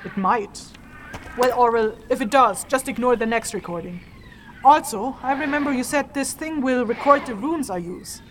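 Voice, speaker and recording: A fairly high voice with clear noise behind it as a woman plays a stern rune mage in a fantasy audio drama. The mage is analytical and easily exasperated, explaining and scolding in a matter-of-fact way. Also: moderate pace, lightly acted.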